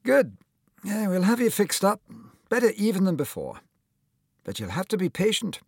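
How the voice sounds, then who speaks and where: A man's voice: high-pitched, wildly swooping pitch, normal speed, creaky, breathy edge, no echo, studio quality, pitched above the performer's own voice, exaggerated voice. A man voicing a kindly, encouraging older male surgeon.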